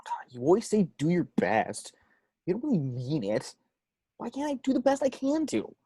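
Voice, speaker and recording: Woman playing a defiant schoolboy, swooping pitch. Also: huge pitch swings, moderate pace, mellow, slightly rough, full character voice. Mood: indignant. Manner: indignant, argumentative. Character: defiant, competitive schoolboy with superpowers. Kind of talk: online script table read.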